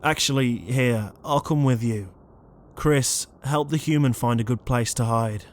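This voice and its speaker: A slow-talking, mid-pitched male ghost played by a man, weary and sarcastic. He is the exasperated leader in an audio roleplay. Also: a little hiss or hum, natural voice. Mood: exasperated, dry. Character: exasperated young ghost leader, reluctant protector, named Liam.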